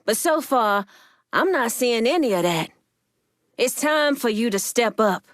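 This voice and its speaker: A woman's voice: on the high side, lively intonation, a little slow, no room sound, studio quality. An actress playing a proud, shrewd woman crime boss in a video game, speaking firmly and bluntly. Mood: stern, demanding.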